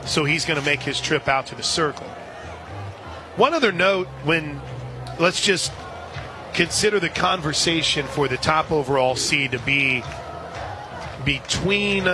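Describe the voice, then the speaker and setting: An American man calling live softball play-by-play on the radio, slipping into conversational asides between pitches. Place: outdoor softball stadium. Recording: noisy outdoor stadium broadcast, loud crowd. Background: crowd and stadium noise.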